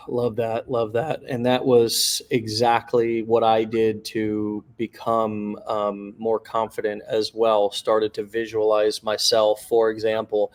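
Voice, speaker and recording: A man hosting an interview, talking casually in short phrases with halting pauses. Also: fairly low pitch, completely flat delivery. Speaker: interviewer, show host.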